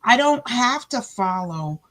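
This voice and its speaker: A woman with a big, loud voice, a guest talking about her faith in a lively way and acting out her own conversations. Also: fairly high pitch, slow pace. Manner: animated, plays out her own dialogue. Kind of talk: faith testimony chat.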